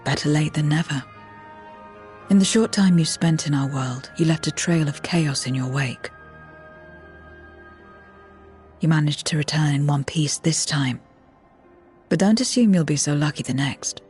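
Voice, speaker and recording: A woman playing a blunt, world-weary void being in a game cutscene, terse and deadpan. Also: deep voice, moderate pace, lightly acted. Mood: cool, warning. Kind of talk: video game cutscene acting. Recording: music behind.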